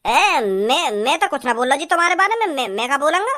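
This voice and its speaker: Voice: sits high, big pitch swings, fast, sharp tone, slight background noise, heavily acted. A performer voicing blustering village men in a comedy cartoon, repeating words. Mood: nervous denial.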